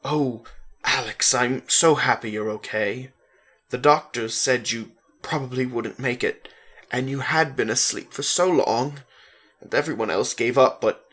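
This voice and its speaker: A reader voicing a boy's emotional father, halting and reassuring, in a dramatic story reading. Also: medium pitch, fairly bright tone. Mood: tearful relief. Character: boy's emotional father, relieved, nervous, soothing.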